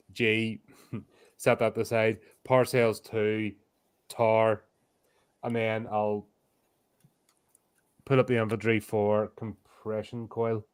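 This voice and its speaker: A man's voice: lowish voice, clear, resonant tone. A man playing a tabletop roleplaying game, casually planning and narrating his own character's actions.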